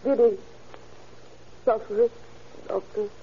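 High-voiced grieving mother, old radio. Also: fairly even intonation. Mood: dazed grief. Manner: halting, tearful, pleading. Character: grief-stricken mother of a killed boy. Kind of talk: 1930s radio acting. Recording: noisy, muffled old radio recording.

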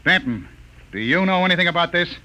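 An actor playing a brisk, authoritative captain of a space expedition in an old science fiction radio drama. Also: male voice, high voice, animated, bright, forceful tone, heavily acted. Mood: grim, frustrated. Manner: brisk, authoritative, giving orders. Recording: noisy, crackly old radio recording.

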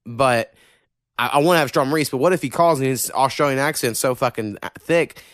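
A man's voice: mid-range voice, a little fast, fairly bright tone, dry recording, clean studio sound. A man with a slight Oklahoma country accent hosting a podcast, rambling and swearing freely in casual chat. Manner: profane, rambling and opinionated. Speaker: podcast host.

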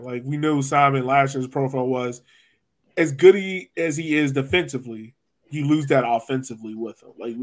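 Casting: American male podcast host, middle-register voice, relaxed everyday phrasing for casual sports talk. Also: mid-range voice. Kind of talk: casual soccer discussion.

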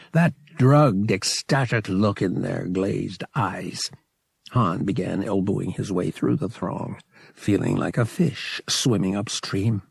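Husky, low-voiced male audiobook narrator. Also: darkish tone, dry recording. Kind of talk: dramatic scripted fiction narration.

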